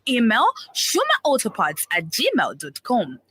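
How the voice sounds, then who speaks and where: A woman's voice: a little high, wildly swooping pitch, a little slow, fairly bright tone, slight background noise. A woman reading a scripted radio advert as a promotional voice-over.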